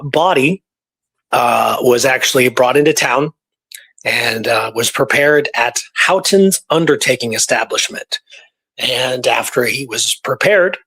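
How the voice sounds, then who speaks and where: A man's voice: medium pitch, a bit bright, slightly rough, low-quality recording. Male co-host, average register, informal storyteller recounting history, loose conversational phrasing. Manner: conversational, peppered with "you know" and "right". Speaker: radio show co-host. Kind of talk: casual storytelling about Old West history.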